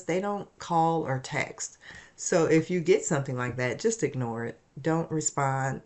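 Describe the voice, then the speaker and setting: An estate planning attorney, raspy and stuffy from a cold, explaining things in a casual video with frequent ums and restarts. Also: medium pitch. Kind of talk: informal explanatory video monologue.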